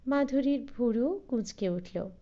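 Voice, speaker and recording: Slow, mellow woman narrating a story. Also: fairly high pitch, animated, lo-fi sound. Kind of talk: audiobook story reading.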